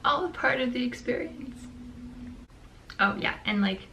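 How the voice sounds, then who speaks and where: A woman's voice: sits high, lively intonation, a little slow, sharp tone. Crackly-voiced young woman vlogging. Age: young adult. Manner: chatty, eating ice cream while talking. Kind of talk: casual chat to camera. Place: small bedroom, indoors.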